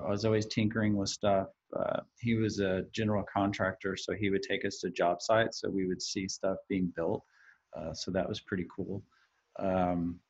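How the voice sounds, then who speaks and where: American guy from texas chatting as a guest, lowish creaky voice, bit of a dull recording. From Texas, United States. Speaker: podcast guest, marketing professional. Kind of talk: casual interview chat.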